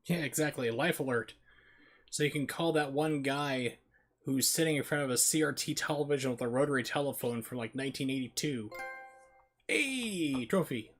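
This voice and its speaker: A man's voice: mid-range voice, animated, moderate pace, fairly bright tone, soft background music. A man doing casual, wry live commentary while streaming a video game. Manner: wry, joking asides. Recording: clear background noise.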